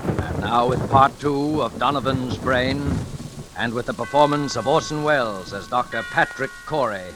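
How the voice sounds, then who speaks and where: A male announcer with a mid-range voice introduces a radio suspense show. His delivery is scripted, formal and measured in the 1940s manner, with music clearly behind him on an old recording. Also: medium pitch.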